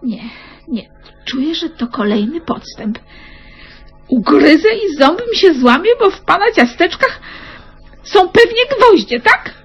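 An actress playing an excitable, suspicious woman in a comedy radio play, talking in theatrical, anxious outbursts, on a dull old radio recording. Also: very high voice, unhurried, long pauses, faint music behind, full character voice. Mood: agitated. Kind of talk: 1970s radio comedy acting.

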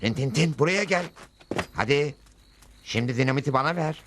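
A man's voice: mid-range voice, animated, brisk, close to an everyday voice. A man playing a composed, heroic lone cowboy with a dry manner in a cartoon dub. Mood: coaxing. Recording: loud music behind the voice.

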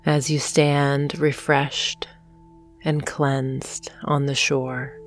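A woman narrating a guided hypnosis meditation in a soothing, gentle manner, leaving long pauses between phrases. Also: extremely low pitch, little pitch movement. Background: soft meditation music with singing bowls.

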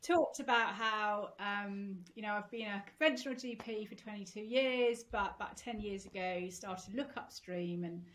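A woman's voice: medium pitch, normal speed, hardly any pauses, fairly bright tone, low-quality recording. Friendly woman doctor on a podcast. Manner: friendly, explanatory, laughs easily. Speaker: guest doctor. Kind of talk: interview answers. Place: home room, indoors. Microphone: on a video call.

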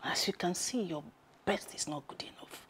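A woman's voice: lowish voice, moderate pace, a bit bright, breathy edge. An actress playing a stern, imperious mother-in-law with a Nigerian accent, scolding and commanding in a film drama. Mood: cold, scolding.

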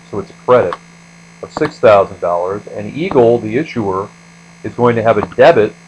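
A male instructor with a fairly low voice walks through material in an explanatory screencast style. He goes step by step, as in a lecture. A faint electrical hum sits behind his voice. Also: low-quality recording. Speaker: accounting instructor.

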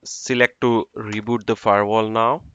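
A man's voice: lowish voice, level tone, moderate pace, a bit bright, clean tone, dry recording. A man narrating a screen-recorded networking tutorial, talking through each step as he works, with fillers. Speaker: network engineer instructor. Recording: dull dry lo-fi screen recording.

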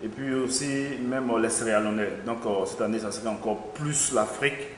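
A man's voice: mid-range voice, normal speed. A young man, an event organizer, answering interview questions hesitantly with many fillers. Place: small studio with logo backdrop. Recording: echoey studio room, faint noise.

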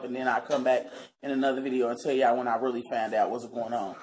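A woman's voice: rumbling low, background noise. A woman in her thirties from Cincinnati, talking earnestly and casually to her viewers from her living room. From Cincinnati, Ohio, US. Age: 30s. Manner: chatty, earnest, keeps addressing viewers as y'all. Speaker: vlogger. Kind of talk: casual talk to camera.

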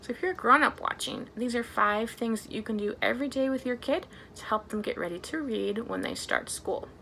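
A woman's voice: a little high, fairly quick, vocal fry, sharp tone, slight background noise, low-quality recording. A young adult woman presenting a storytime for small children as a librarian, speaking to them in simple, encouraging phrases with lots of questions.

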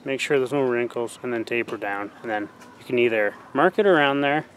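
A man's voice: mid-range voice, a little fast, fairly bright tone, a little hiss or hum, poor audio. Stuffy-nosed young man explaining a DIY job. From Canada. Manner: chatty, explains steps to the camera. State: stuffy from a cold. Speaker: fishing channel host. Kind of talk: casual DIY how-to vlog. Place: outdoor driveway by a garage. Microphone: camera mic, talking to the lens up close.

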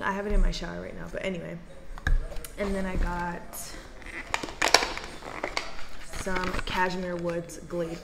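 A woman's voice: fairly low pitch, gravelly. A young woman chatting casually to her viewers in a day-in-my-life vlog, rambling through asides. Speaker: vlogger.

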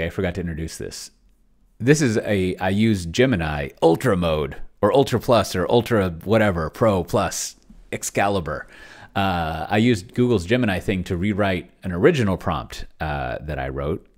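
Middle-aged man, low-pitched voice, casual screen-share host, offhand, rambling, close desk mic. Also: lively intonation, moderate pace. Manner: rambling, self-interrupting, offhand. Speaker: host of a tabletop roleplaying tech channel. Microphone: desk microphone close to the mouth.

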